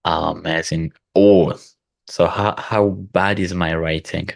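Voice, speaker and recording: A man with the flu hosting a casual video call, relaxed and given to laughing and asking thoughtful questions. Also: sits low, measured pace, vocal fry, no echo, studio quality. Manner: relaxed, laughs often, asks reflective questions. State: sick with flu. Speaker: host.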